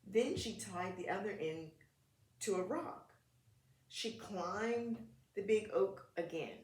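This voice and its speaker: Slow woman reading aloud, echoey room. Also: medium pitch, a little mellow. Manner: simple short phrases, pausing, questioning listeners directly. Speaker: read-aloud storyteller for preschool children. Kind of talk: interactive picture-book read-aloud.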